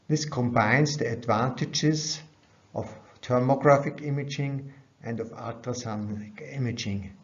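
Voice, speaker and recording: A man's voice: medium pitch, measured pace. Dull-sounding man giving a science lecture. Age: middle-aged. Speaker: researcher presenting at a conference. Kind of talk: scientific lecture over slides. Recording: dull, band-limited webcam audio.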